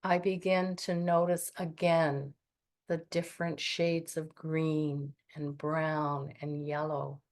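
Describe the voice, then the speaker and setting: A woman leads a guided meditation in a soothing, gentle voice that sits on the low side. She speaks very slowly, letting long pauses settle between her phrases.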